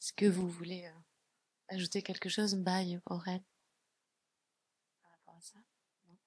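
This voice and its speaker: A woman with a fairly low voice moderates a panel. She speaks in a loose, chatty way and leans on filler words. The recording is close and dry. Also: flat delivery, a little slow, a little mellow, slightly rough, no echo. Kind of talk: closing a panel talk.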